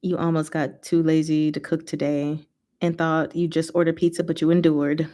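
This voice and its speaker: Woman, slightly low voice, livestream host, casual rambling chat, playful and expressive delivery. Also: moderate pace.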